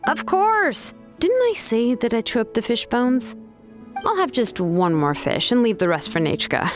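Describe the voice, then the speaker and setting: A woman's voice: on the high side, big pitch swings, a little slow, faint music behind. A woman playing an easygoing, confident young female adventurer in a video game, with a casual, breezy way of talking. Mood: playful.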